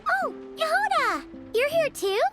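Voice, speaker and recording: A woman's voice: very high-pitched, sing-song, a little slow, fairly bright tone, exaggerated voice. A woman voicing a tiny, curious, childlike fairy companion in a video game, with a chirpy, exclaiming manner. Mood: excited. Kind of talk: scripted video game dialogue. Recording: music behind the voice.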